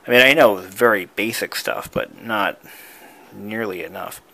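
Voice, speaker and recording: A man with a fairly low voice talks very slowly, as if working things out as he goes. He is casual and halting, like a hobbyist showing off a project. There is clear noise behind him. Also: bright, forceful tone, rough recording. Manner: musing, thinking aloud, halting. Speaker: hobbyist showing an old device he is restoring. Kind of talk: casual unscripted show-and-tell vlog.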